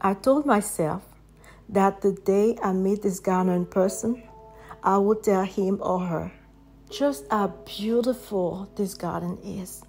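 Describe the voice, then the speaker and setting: Slow, gentle woman with a French accent. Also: mid-range voice, faint music behind. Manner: gentle, reflective, soft-spoken. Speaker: poet reading her own work. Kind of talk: slow poetry reading.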